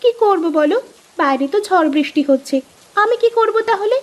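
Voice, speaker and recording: Woman as a vain, very high-voiced village wife. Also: expressive, normal speed. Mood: coy. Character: vain, touchy, easily tearful young village wife. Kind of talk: cartoon dialogue acting.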